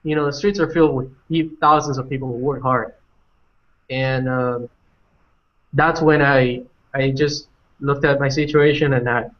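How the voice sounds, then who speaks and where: A man's voice: medium pitch, steady tone. A young man from Colombia, telling his own story on a panel and restarting his sentences often. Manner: halting, many restarts and fillers. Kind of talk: personal story on a webinar. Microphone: video call. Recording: dull lo-fi video call audio.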